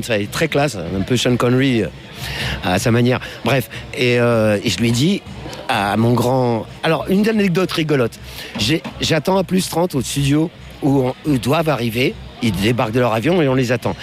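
A middle-aged man, a musician guest on radio, telling anecdotes in a casual, slangy, colloquial way. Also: mid-range voice. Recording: faint music under the voice.

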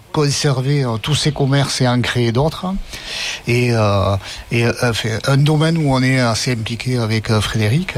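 A man with a middle-range voice answers interview questions as a local resident. He is relaxed and fills the gaps between phrases with hesitations, over faint background ambience. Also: medium pitch, a little hiss or hum.

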